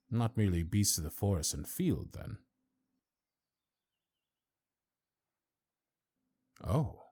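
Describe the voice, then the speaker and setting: Stern old fantasy high lord played by a man, very dark mellow voice, sweeping intonation, formal and haughty. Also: no room sound. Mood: measured, probing. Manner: formal, archaic, haughty and deliberate. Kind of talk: fantasy audio roleplay acting.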